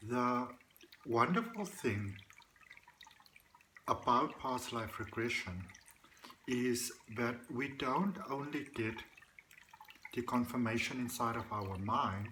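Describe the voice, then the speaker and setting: A man's voice: mid-range voice, lively intonation, sharp tone, slight background noise, low-quality recording. Soothing man guiding a meditation, water behind. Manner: slow, deliberate, soothing delivery with repeated phrasing. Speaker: guided meditation practitioner and host. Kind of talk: guided meditation, speaking to the listener.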